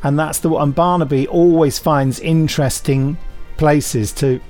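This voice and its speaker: A man's voice: a little high, steady tone, measured pace, a little mellow. A man hosting a podcast in casual, conversational chat, with some music behind him. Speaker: podcast host.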